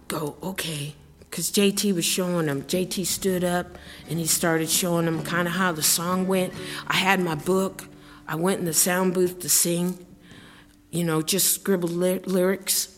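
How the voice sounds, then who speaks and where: A middle-aged woman talks to a live audience between songs, rambling cheerfully through a long story with laughs along the way. Her voice sits at an ordinary pitch and carries a long reverb from the concert hall. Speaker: singer-songwriter.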